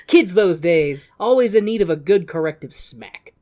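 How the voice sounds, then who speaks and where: Animated, theatrical man recapping a story. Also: sits high, normal speed. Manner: theatrical, sardonic, sweary. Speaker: podcast co-host. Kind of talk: comic plot recap, casual chat.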